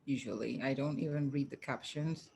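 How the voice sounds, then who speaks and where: A woman's voice: rumbling low, moderate pace, vocal fry, a little mellow. A woman co-hosting a podcast, chatting casually, with a little noise in the recording. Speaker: podcast co-host. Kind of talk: casual conversational panel chat.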